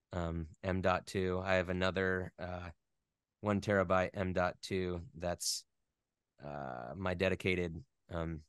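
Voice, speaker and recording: A man with a fairly low, clean and resonant voice talks casually as an interview guest. He feels his way through sentences in a rambling, geeky, enthusiastic manner. The sound is dry, with very little room on it. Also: fairly bright tone, smooth, no echo. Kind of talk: casual chat.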